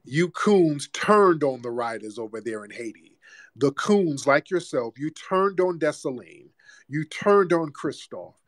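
A man's voice: a little high, expressive, measured pace, no echo. A man hosting a heated call-in debate, combative, repeating himself and talking over the caller. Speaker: host.

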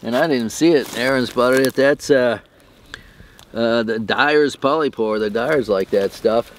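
A man's voice: mid-range voice, measured pace, a little hiss or hum. Chatty older man in a forest. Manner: rambling, chatty, acts out calls in a raised voice. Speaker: mushroom club member. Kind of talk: casual chat on a forest walk. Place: outdoors in a forest.

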